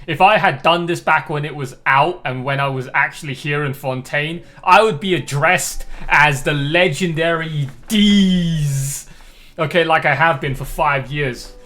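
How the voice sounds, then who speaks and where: A man's voice: on the high side, a little slow, bright, forceful tone. A man giving casual live game stream commentary in a blunt, slangy, sweary way. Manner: blunt, profane, slangy ranting. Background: faint game music.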